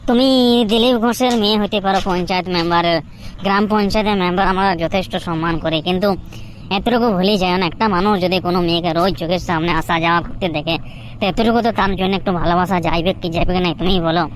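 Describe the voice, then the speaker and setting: A woman's voice: fairly low pitch, rapid-fire, a little hiss or hum, close to an everyday voice. A woman voicing a crude, lovelorn young village shopkeeper who grumbles and pleads in a comedy cartoon. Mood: pleading.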